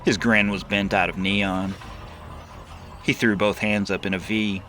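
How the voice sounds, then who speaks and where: A man narrates a comic novel in the first person. His voice sits on the low side, and the reading is scripted storytelling. Soft music runs underneath. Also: little pitch movement, a little slow. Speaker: audiobook narrator and author.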